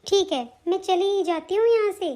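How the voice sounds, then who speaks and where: A woman's voice: extremely high pitch, normal speed, warm tone. A woman voicing a fretful, affectionate older mother-in-law in a family cartoon, with a plaintive, homely way of talking. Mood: hurt. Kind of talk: Hindi family cartoon story dialogue.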